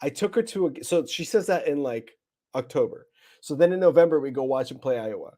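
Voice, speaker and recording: An American man hosts a casual podcast with a mellow, soft-edged voice. He rambles through stories in loose slang, drifting off on tangents and swearing freely. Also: fairly high pitch, animated, fairly quick. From Nebraska, United States. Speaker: podcast host.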